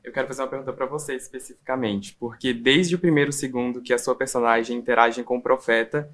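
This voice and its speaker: Very low-voiced woman interviewer. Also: fairly even intonation, fast talker. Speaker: entertainment interviewer. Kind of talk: casual interview questions.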